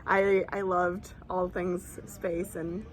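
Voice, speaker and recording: A woman's voice: fairly low pitch, little pitch movement, takes it slowly, slight background noise, rough recording. A woman in her late twenties who grew up in Dubai, chatting as an interview guest, with many filler "likes" and ums and easy laughter. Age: 20s. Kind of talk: casual conversation. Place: lives in San Francisco.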